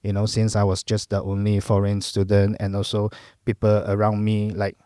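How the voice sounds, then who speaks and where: A middle-aged Malaysian man with a Cantonese mother tongue talks through his memories in a relaxed, reflective way. His voice sits fairly low. The sound is dry and close, with no room echo. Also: moderate pace. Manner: relaxed, reflective, lots of fillers and "lah" particles. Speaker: guest. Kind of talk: casual interview chat.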